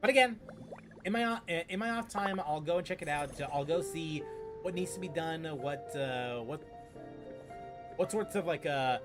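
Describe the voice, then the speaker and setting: A man's voice: fairly high pitch, normal speed, music in the background. A man streaming a game with casual, playful, rambling commentary, often repeating his phrases. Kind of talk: casual live playthrough commentary.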